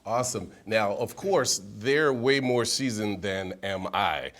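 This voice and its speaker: A man's voice: on the low side, lively intonation, slow, a bit bright. A young man, a newer panelist, giving modest and direct advice. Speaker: real estate panelist.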